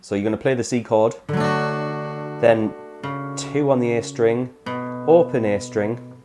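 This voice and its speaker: A man, hoarse from a cold, explaining guitar chords step by step in a casual tutorial. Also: medium pitch, steady tone, unhurried. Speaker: guitar teacher.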